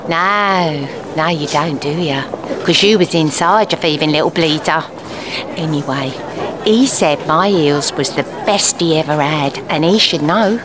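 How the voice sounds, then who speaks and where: An actress playing a bossy, brash older Cockney eel-seller, chatty and scolding, in audio drama. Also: female voice, low-pitched, a little slow, crowd noise. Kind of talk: character audio drama acting. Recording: clear background traffic noise.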